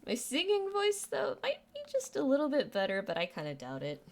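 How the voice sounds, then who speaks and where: A woman's voice: high-pitched, wildly swooping pitch, fairly quick. A young woman with a youthful, girlish voice, chatting casually on a live stream, rambling and self-deprecating with lots of restarts and fillers.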